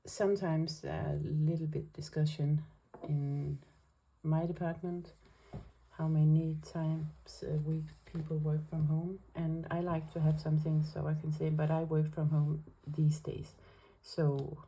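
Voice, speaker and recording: Danish woman with a low, gravelly voice. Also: little pitch movement, a little slow, warm tone, poor audio. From Denmark. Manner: hesitant, many fillers, careful. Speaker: planner vlogger. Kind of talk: casual chat while planning.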